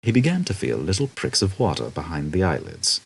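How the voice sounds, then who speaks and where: A man with a very deep, husky voice narrates a comic story. His delivery is scripted and dryly humorous, and his pitch moves in lively swings. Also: fairly quick. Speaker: audiobook narrator.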